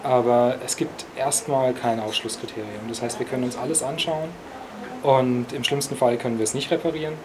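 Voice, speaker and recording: A man's voice: mid-range voice, fairly even intonation, fairly quick, a crowd in the background. A man explaining how repairs work, thorough and full of hesitations. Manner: explains in detail with many hesitations. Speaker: repair expert. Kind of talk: interview answers.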